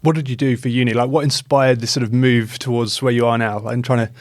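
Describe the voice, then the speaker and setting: A man's voice: mid-range voice, fairly even intonation, fast, no room sound, studio quality. A man hosting a podcast, chatting informally and asking loosely phrased questions. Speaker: podcast host. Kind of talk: casual interview chat.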